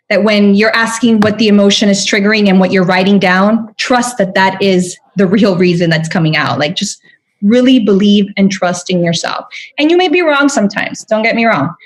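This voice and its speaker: A young adult woman from Venezuela, a podcast guest chatting earnestly with many fillers and restarts. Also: mid-range voice. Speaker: podcast guest, spiritual guide. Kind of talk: casual interview chat.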